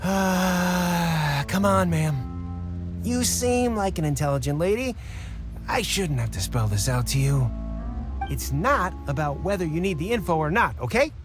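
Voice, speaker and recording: An actor playing a smarmy, pushy small-time swindler boss in an anime game, wheedling and theatrical. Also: male voice, sits high, very animated, unhurried. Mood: smug, menacing. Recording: loud music behind.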